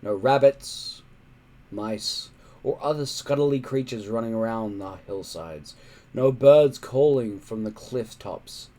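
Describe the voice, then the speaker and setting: A man reading a children's adventure story aloud in a dramatic, suspenseful way, with emphatic pauses. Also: medium pitch, mellow. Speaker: storybook reader.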